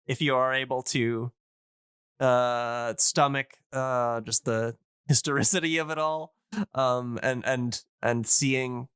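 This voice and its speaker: A man with a clean, smooth voice and a mid-range pitch talks casually on a podcast. He is keen but halting, often feeling for the next word. The sound is dry and close. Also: a bit bright, no echo. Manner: hesitant, searching for words, enthusiastic.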